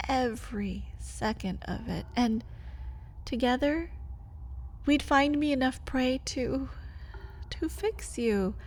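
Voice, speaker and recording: Breathy, desperate vampire woman played by an actress. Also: high-pitched. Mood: pleading. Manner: breathy, intimate pleading, dropping into whispers. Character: caged vampire woman, desperate, bitter, pleading lover. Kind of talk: ASMR roleplay.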